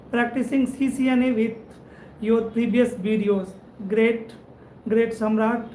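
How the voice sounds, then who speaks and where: A man's voice: sits high, mellow. A man from India with an Indian English accent, hosting a live stream as a networking instructor. He talks in a friendly way, thanks viewers again and again, and often adds "right?" to his sentences. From India (Madhubani, Bihar). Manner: friendly, repetitive thanks, frequent "right?" tag. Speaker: networking instructor and channel host. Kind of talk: live-stream chat reading viewer comments. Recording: roomy echo, faint background noise.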